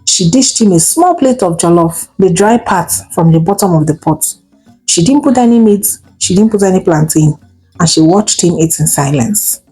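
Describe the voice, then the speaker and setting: Highly expressive Nigerian woman storyteller. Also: slightly rough, soft background music, rough recording. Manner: theatrical, expressive, with drawn-out words and exclamations. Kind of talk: dramatic folktale storytelling narration.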